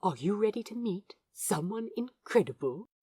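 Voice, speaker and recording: A woman voicing a gentle, eager, old-fashioned man with polite, quaint phrasing in a fan-made audio drama. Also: mid-range voice, expressive, moderate pace, husky, dry recording. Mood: eager, confiding.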